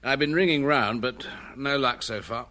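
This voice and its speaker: A man's voice: mid-range voice, normal speed, pushed and bright, lightly acted. An actor playing a loyal, efficient detective reporting to his chief in a radio drama, obliging and matter-of-fact. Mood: businesslike.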